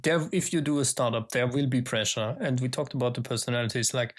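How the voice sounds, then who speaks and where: A man's voice: medium pitch, quick pace. A man hosting a podcast interview, chatting casually, often affirming his guest and explaining ideas. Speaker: podcast host.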